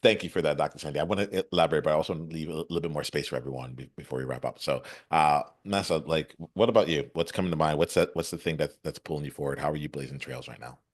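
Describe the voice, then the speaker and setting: Very deep-voiced male host. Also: rapid-fire, few pauses. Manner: upbeat, affirming, repeats words. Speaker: podcast host. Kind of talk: panel discussion hosting.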